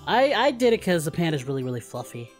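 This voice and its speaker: A man's voice: fairly high pitch, very animated, fast, soft background music. A man voicing a parody of an anime martial-arts hero, cocky, playful and flirty, in improvised banter. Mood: easygoing, confident. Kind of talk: improvised in-character gaming banter.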